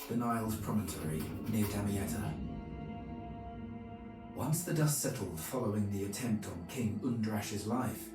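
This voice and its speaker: An actor playing a medieval knight chronicler, narrating a video game's story in a grave, measured way. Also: male voice, on the low side, natural voice. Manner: measured, solemn. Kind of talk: scripted dramatic narration. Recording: echoey, distant, under music.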